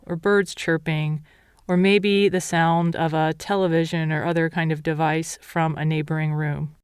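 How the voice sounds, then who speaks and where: A woman meditation teacher leading a guided meditation in a calm, measured, instructional way. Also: sits low, smooth, dry recording, clean studio sound.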